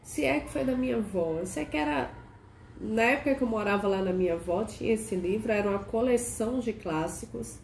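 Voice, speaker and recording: A woman hosting a casual video chat. She sounds nasal and hoarse from a cold and keeps asking the viewer questions. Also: mid-range voice, brisk, a little mellow. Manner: chatty, asks the viewer many questions. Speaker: archetype consultant and channel host. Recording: echoey room with faint noise.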